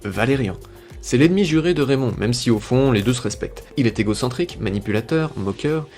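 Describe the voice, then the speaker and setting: A man's voice: mid-range voice, lively intonation, a little fast, darkish tone, faint music behind. A man reading a scripted video review as narrator, with a wry, tongue-in-cheek manner.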